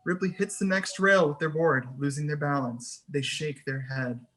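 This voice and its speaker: A narrator at a screenplay table read, with a voice of medium pitch. The delivery is scripted narration of scene headings and action. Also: fairly even intonation, moderate pace, a bit bright. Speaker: narrator reading stage directions.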